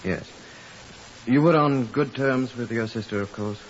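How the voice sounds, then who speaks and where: An actor playing a dry, sceptical police superintendent in a 1950s radio drama, with a clipped, matter-of-fact manner. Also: male voice, medium pitch, a little slow, natural voice. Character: dry, sceptical male Scotland Yard superintendent. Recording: muffled hissy old radio recording.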